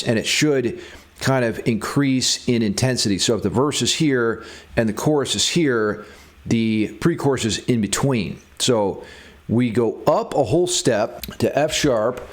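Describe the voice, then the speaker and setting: A man giving a casual music theory lesson as a guitar teacher. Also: medium pitch.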